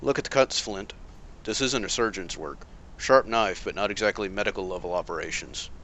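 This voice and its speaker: An actor playing a calm, analytical masked vigilante detective with a dry, measured delivery. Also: male voice, lowish voice, slight background noise. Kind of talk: amateur motion comic voice acting.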